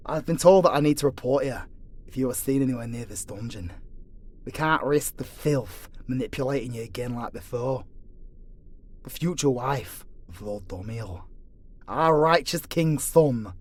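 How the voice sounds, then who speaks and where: A man's voice: mid-range voice, a little slow, a little mellow, natural voice. A man playing a dutiful, prejudiced angel dungeon guard with a formal, sanctimonious manner, in a fantasy audio roleplay. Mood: flustered, deferential.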